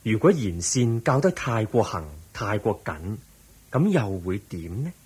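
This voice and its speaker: An actor playing the Buddha as a calm, patient teacher with a measured, instructive way of speaking, in Hong Kong Cantonese radio drama style. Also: male voice, on the low side, a little hiss or hum. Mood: patient questioning.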